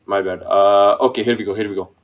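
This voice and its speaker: A young man on a video call through a gaming headset, joking and boasting in a loud, cocky way. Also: fairly low pitch, steady tone, fast talker, phone-quality sound, background noise. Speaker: online date contestant. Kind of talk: casual video call banter.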